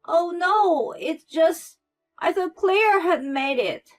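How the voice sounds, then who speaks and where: A nasty older mother-in-law shrieks high and loud, snapping out insults, as a woman narrator puts her on. Her voice climbs well above the teller's own and stays harsh and scolding. Faint music sits under the storybook reading. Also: lively intonation, slow pace. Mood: flustered.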